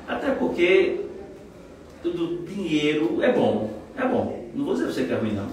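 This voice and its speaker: A man's voice: fairly high pitch, wildly swooping pitch, moderate pace, darkish tone, a little hiss or hum. A man in his forties, a trial lawyer and mentor, explaining in an expansive, self-assured way. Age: 40s. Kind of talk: casual interview chat. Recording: echoey room, mic at a distance.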